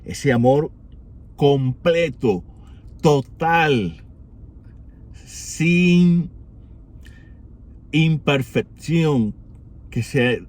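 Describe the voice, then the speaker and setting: A man from Rico, giving an unhurried reflection for morning prayer, with many pauses and rhetorical questions. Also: fairly high pitch, lively intonation, a little mellow. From Puerto Rico.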